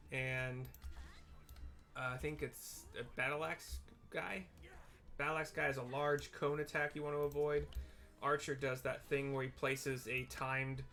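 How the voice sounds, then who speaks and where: A man's voice: medium pitch, bright, forceful tone. A man giving casual, informal live commentary on his own video game playthrough, thinking aloud as he goes. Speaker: gamer narrating own playthrough.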